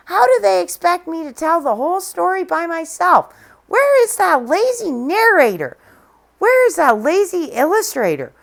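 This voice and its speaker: A woman's voice: vocal fry, full character voice. A woman voicing a fussy, complaining little red hen in a storybook read-aloud. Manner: shrill, indignant, nagging.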